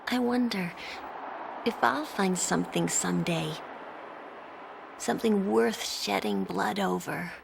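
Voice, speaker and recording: A woman playing a self-reliant, wryly affectionate young adventurer in a video game cutscene, with a slow, theatrical delivery and a creaky edge. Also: mid-range voice, background noise. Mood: wistful farewell.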